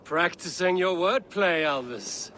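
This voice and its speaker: An actor voicing a steady male Viking raider hero with a measured, dry-witted delivery in a video game cutscene. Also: high-pitched, a little hiss or hum, heavily acted. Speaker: game protagonist.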